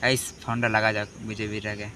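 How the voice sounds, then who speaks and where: Fast-talking man with a bright voice. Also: fairly low pitch, level tone, a little hiss or hum. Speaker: prank-call vlogger, host. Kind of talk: casual chat to viewers and on a phone prank.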